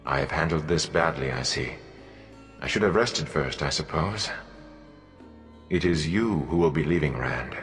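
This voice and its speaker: A man's voice: very deep voice, expressive, long reverb tail, faint music behind, exaggerated voice. A man doing a cool, composed sorceress. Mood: grave. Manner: measured, quiet, composed. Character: calm, commanding noblewoman sorceress.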